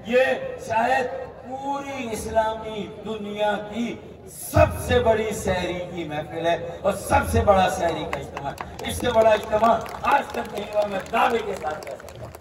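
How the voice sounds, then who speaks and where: A man's voice: high-pitched, unhurried, a crowd in the background. Man giving an impassioned speech outdoors. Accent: Pakistani Urdu. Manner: pleading, rhetorical, emphatic. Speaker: speaker at a public charity event. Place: outdoor night gathering with a crowd. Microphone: handheld microphone, filmed from a distance. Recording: echoey, distant outdoor sound.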